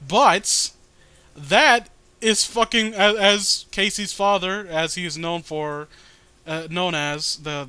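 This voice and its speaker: A man with a high, bright voice co-hosts a comedic read-along. He rambles through his commentary in an exasperated, casual way and swears freely. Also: clean tone, no echo. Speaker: co-host of a comedic reading show. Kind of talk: casual comedic commentary while reading aloud.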